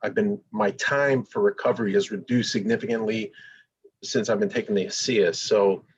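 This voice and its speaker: A man in his forties, an endurance athlete, talking haltingly with many pauses and fillers. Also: fairly low pitch, steady tone, slightly rough. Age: 40s. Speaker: endurance athlete giving a testimonial. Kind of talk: testimonial interview. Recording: dull lo-fi recording.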